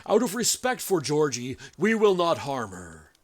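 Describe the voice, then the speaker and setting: A man playing a stern, righteous resistance leader in an audio drama, grandiose and theatrical with deliberate pauses. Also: fairly high pitch, huge pitch swings, full character voice. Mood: stern, merciless. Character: stern, righteous doctor leading common-sense resistance.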